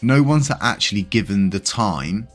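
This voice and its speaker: A man's voice: lowish voice, animated, measured pace, soft background music. A British man hosting a crypto channel, talking in a rambling, casual way with lots of fillers. He is congested and slightly wheezy. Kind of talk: casual news commentary.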